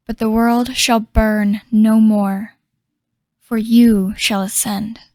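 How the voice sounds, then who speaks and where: Solemn fantasy game narrator, measured, highish voice, super dry recording. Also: female voice, flat delivery, darkish tone, no echo.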